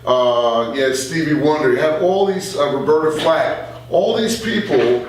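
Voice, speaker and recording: An echo-heavy, distant recording of an older man being interviewed. He speaks at a middling pitch in a conversational, reflective way, stressing points by repeating them. A faint hum sits behind him. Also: moderate pace. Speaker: veteran radio broadcaster, interview guest. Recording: very echoey room, off-mic, faint hum.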